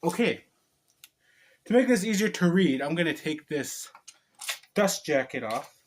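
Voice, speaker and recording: Man, slightly high-pitched, informal video host, amateur storybook read-aloud, occasional stumbles. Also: lively intonation, a little slow, slight background noise. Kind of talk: casual vlog talk and amateur read-aloud.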